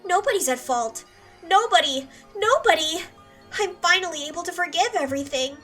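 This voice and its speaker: Unhinged guilt-ridden teen girl, high and piercing, set back from the mic over music. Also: animated, fast talker, fairly bright tone, distant mic. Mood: obsessive. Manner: obsessive, repeating apologies, swinging from whisper to scream. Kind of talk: dubbed anime drama monologue.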